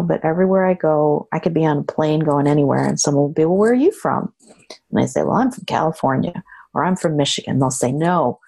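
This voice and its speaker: A woman's voice: low voice, gravelly, darkish tone. A woman from the United States speaking as a podcast guest, with a dry, wry manner. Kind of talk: conversational interview.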